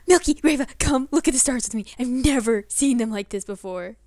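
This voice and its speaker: A woman's voice: sits high, wildly swooping pitch, moderate pace, no echo, clean studio sound. A woman voicing a small, wide-eyed animal pup, eager and gasping with wonder, for a comic dub. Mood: excited, amazed.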